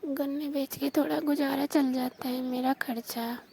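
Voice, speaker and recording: A woman's voice: sits high, steady tone, unhurried, vocal fry, dark tone, slight background noise. A woman from Rajasthan on a recorded phone call, teasing and bargaining in a coy, flirtatious way.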